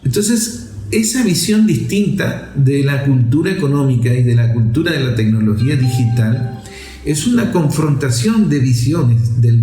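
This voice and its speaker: A man giving unscripted political commentary as a show host, didactic and deliberate, repeating phrases for emphasis. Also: on the high side, dark tone. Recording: echoey room sound.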